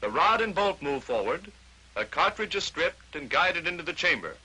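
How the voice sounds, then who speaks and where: Sharp, bright 1940s American film narrator, male. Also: fairly high pitch, very animated, moderate pace, rough recording. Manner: clear step-by-step explaining, declarative. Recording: raspy, sharp vintage 1940s film soundtrack.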